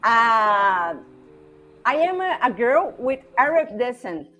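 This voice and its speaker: A woman in her forties from Brazil, speaking English with a Brazilian Portuguese accent as a podcast guest, halting and searching for words with many restarts. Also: fairly high pitch, sing-song, bright, forceful tone, lo-fi sound, soft background music. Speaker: podcast guest, former classmate. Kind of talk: casual conversation.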